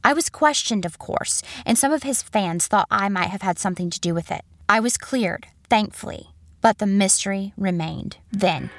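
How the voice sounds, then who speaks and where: A woman plays a haunted female journalist recounting a horror tale. Her mid-pitched voice is measured and suspenseful, in dramatic first-person narration. Music plays clearly underneath. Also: fairly bright tone. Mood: somber, uneasy.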